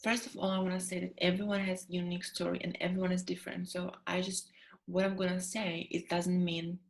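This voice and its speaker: A young woman from the Czech Republic with a Czech accent, a guest in an interview who talks in a relaxed, chatty way with many ums. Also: fairly low pitch, brisk, gravelly. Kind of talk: interview conversation.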